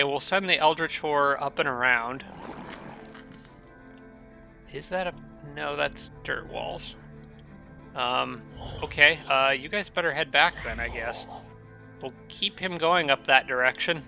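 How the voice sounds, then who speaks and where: A man with a stuffy, allergy-clogged nose talks through his strategy game turn by turn. His voice is very bright and sharp, pitched in the middle, and he thinks aloud with plenty of ums and restarts. Quiet music plays underneath. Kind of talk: casual gameplay commentary.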